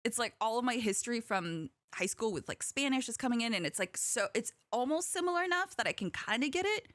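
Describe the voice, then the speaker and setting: Chatty, giggly female podcast host, Korean first language, lively pitch. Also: no echo. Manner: giggly, chatty, quick to laugh. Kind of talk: casual podcast chat.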